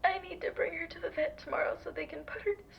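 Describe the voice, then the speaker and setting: A woman playing a hesitant, grieving ex-girlfriend on a painful phone call in an audio roleplay, halting and tearful. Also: very high-pitched, steady tone, creaky, heavily acted. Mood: sad, tearful. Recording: dull, lo-fi phone call, faint noise.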